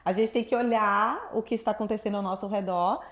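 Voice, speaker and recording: A talkative woman from Salvador, a guest sharing her story in a relaxed interview. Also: mid-range voice, brisk. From Salvador, Brazil. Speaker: guest, sexuality professional. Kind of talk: casual interview chat.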